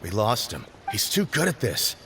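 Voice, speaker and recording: A man's voice: fairly low pitch, wildly swooping pitch, normal speed, creaky, bright, forceful tone, slight background noise, exaggerated voice. A man playing an earnest, dutiful subordinate agent in a fantasy video game, explaining himself apologetically. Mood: apologetic, earnest. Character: earnest, apologetic male subordinate agent reporting to his boss.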